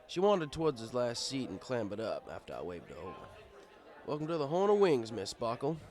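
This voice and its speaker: A man's voice: medium pitch, sing-song, fairly quick, a little mellow, some chatter behind, natural voice. A man playing an easygoing, fatherly pony bartender who tells his story in a wry, conversational way. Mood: wry, settled, then friendly, welcoming. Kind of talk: first-person audiobook narration in character.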